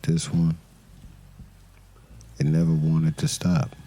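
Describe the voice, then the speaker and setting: An actor playing a weary, brooding detective who narrates his case in an audio crime drama with somber, deliberate pauses. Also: very deep voice, monotone, dark tone, full character voice. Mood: grave, brooding.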